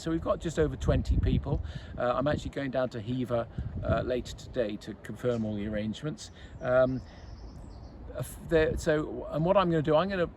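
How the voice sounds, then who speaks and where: A man, serving as men's captain of a golf club, gives a casual update to members outdoors. He has a loud voice and talks in a chatty way, with frequent restarts and fillers. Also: mid-range voice. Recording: faint outdoor traffic noise.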